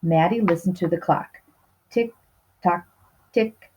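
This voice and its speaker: A woman's voice: lowish voice, slow pace, husky. A woman reading a children's storybook aloud expressively, with little asides to the listeners. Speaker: storybook reader. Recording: slightly dull, lo-fi sound.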